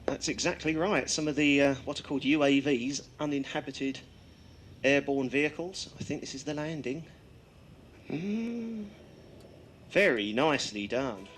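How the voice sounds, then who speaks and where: A man giving live, unscripted commentary on flying displays, appreciative and measured in his phrasing. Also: medium pitch, fairly bright tone, slight background noise. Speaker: airshow commentator.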